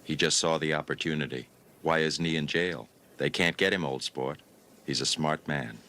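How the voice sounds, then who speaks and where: Very deep man reading literary fiction. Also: normal speed, background noise. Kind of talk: dramatic audiobook-style reading of a classic novel.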